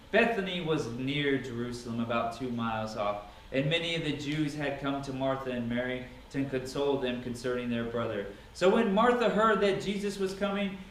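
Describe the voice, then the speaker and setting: A man's voice: on the high side, a bit bright. A man giving a dramatic, emphatic scripture reading with theatrical delivery. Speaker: Bible reader. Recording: echoey room, distant mic, noisy.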